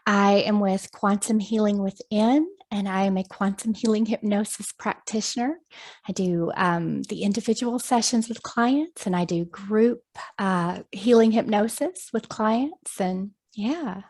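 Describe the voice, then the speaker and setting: A friendly woman tells her story over a video call. She talks at a middle pitch in a chatty way, pausing with ums, and laughs easily. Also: a little mellow. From United States. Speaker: guest, hypnosis practitioner.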